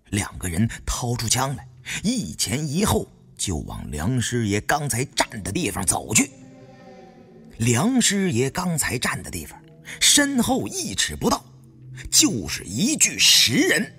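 A man's voice: medium pitch, a bit bright. A man narrating an audiobook as dramatic first-person storytelling.

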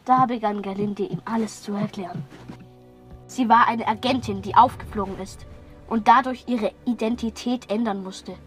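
A woman's voice: medium pitch, steady tone, normal speed. Female narrator over music. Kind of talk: scripted narration of a children's radio play.

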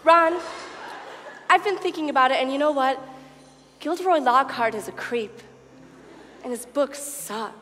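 A woman's voice: sits high, measured pace, long pauses, a bit bright. An actress playing a self-assured, gushing teenage witch schoolgirl in a comic stage reading, with emphatic theatrical delivery. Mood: coy, frank. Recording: reverberant theatre hall, music behind.

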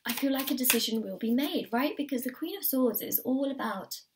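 Slightly croaky woman reading tarot. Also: high-pitched, lively intonation, fairly quick, distant mic, noisy background. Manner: encouraging, repeats phrases, addresses viewer directly. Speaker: tarot reader. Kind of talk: pick-a-card tarot reading to camera. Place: indoors at a table.

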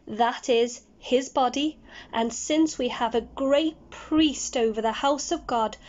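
A woman in her thirties reads a Bible passage in a high voice. Her phrasing is slow, measured and deliberate. The recording is slightly dull, like a home video. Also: darkish tone. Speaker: Bible reader. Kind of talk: scripture reading.

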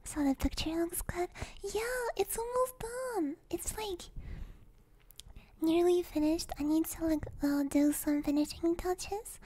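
Young woman, very high girlish voice, slow pace, soft breathy whispering, playful ASMR stream chat. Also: a little hiss or hum, low-quality recording. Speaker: ASMR livestream host. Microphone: binaural ear microphone.

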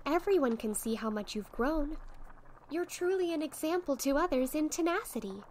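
A woman playing a sweet, encouraging young witch in an ASMR roleplay, speaking in a gentle, soothing manner. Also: sits high, a little hiss or hum. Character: sweet, encouraging young witch tutoring her shy friend. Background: soft potion bubbling and fizzing effects.

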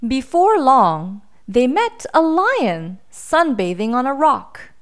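A woman reading a children's storybook aloud in an expressive, dramatic, deliberate style. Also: high-pitched. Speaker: storybook reader.

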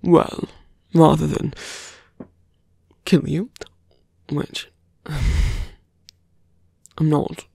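Man acting a gravelly, whispering suave villain. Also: a little high, flat delivery, dark tone, no echo, clean studio sound, heavily acted. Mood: smooth, condescending. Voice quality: breathy, often whispered, gravelly. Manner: slow, deliberate, teasing. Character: suave, condescending male fantasy villain and thief. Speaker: voice actor in roleplay audio. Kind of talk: ASMR villain roleplay, half-whispered monologue. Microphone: close, dry microphone.

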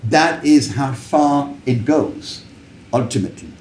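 An older man from Paris with a French accent, a native French speaker giving a live talk in an emphatic, deliberate, rhetorical way with pauses. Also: mid-range voice, darkish tone, slight background noise. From Paris, France. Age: older, about 70s. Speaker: public speaker, lecturer. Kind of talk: live political talk to a small audience. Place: indoors, small wallpapered room with an open view to a window. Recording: roomy echo from camera distance.

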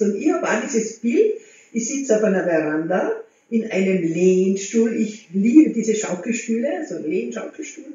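A woman, chatting to camera at home as a motivational vlogger and gesturing as she talks. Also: fairly high pitch. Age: 60s. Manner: chatty, gestures with hands, warm encouraging tone. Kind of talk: casual talk to camera. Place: living room at home. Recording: very echoey room, slightly dull sound.

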